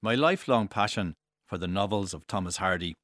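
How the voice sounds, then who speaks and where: A man's voice: deep voice, expressive, a little fast, a bit bright, no echo, studio quality. A man from Ireland reading his own literary essay aloud in a wry, composed manner.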